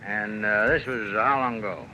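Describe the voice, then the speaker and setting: An actor playing a sceptical police officer in an old radio drama, questioning in a halting, probing way. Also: male voice, on the low side, bright, forceful tone. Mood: patient. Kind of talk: 1940s-1950s radio drama acting. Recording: dull, hissy old radio recording.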